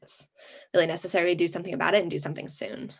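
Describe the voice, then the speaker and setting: A teenage girl giving quick, earnest interview answers. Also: fairly low pitch, steady tone, gravelly. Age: teens.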